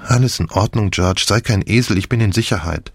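A man's voice: fairly low pitch, a little fast, no echo. A man reading an audiobook, voicing a shocked, weary young woman in a lifeless, resigned manner. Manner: lifeless, flat delivery. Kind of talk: audiobook dialogue.

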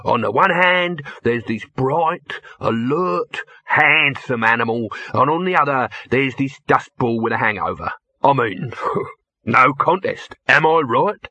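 A man's voice: medium pitch, lively intonation, unhurried, sharp tone, dry recording, muffled recording. A man voicing a scheming male film-studio boss with a slick, wheedling salesman's manner.

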